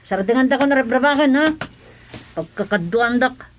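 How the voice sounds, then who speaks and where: A woman's voice: a little high, moderate pace, a little hiss or hum, close to an everyday voice. A woman acting an indignant, put-upon household helper in an Ilocano radio drama.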